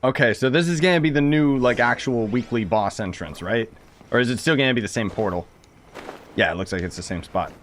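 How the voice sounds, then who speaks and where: A man's voice: mid-range voice, a little fast, a bit bright, a little hiss or hum. A man reacting to a game story with sarcastic, joking commentary. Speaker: gaming streamer. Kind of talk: casual commentary over gameplay.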